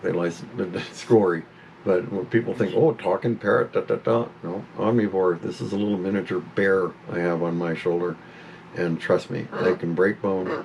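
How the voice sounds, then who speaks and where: A man's voice: low-pitched, gravelly, a little mellow, slight background noise, rough recording. A man in his fifties talking casually to camera at home about his pet parrot, rambling and stopping to scold the bird. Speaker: pet owner vlogger.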